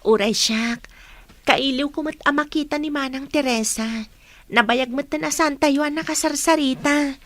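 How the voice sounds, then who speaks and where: A woman's voice: high voice, moderate pace, fairly bright tone. A woman playing a dutiful, respectful young college student daughter in an Ilocano radio drama. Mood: friendly.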